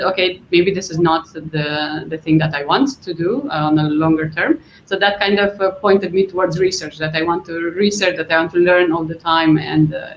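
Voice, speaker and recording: Woman, thoughtful, hesitant, repeats words, roomy dull video-call sound. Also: lowish voice. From Romania. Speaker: research scientist. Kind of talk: panel discussion answers. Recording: echoey, muffled line with faint noise.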